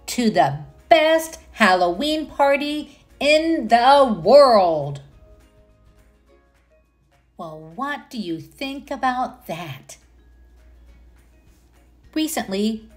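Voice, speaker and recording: A woman reading a children's picture book aloud as a storyteller, pausing to comment and put questions to young listeners. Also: a little high.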